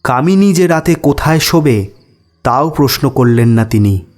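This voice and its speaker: A man's voice: medium pitch, expressive, normal speed, darkish tone. A man narrating a scripted ghost story as a storyteller.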